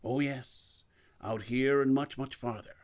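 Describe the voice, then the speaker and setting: A man playing a secretive, commanding, guilt-burdened mentor in an audio drama, speaking deliberately and cryptically. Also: mid-range voice, fairly even intonation, lightly acted. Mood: cryptic, assured. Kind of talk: audio fiction podcast acting.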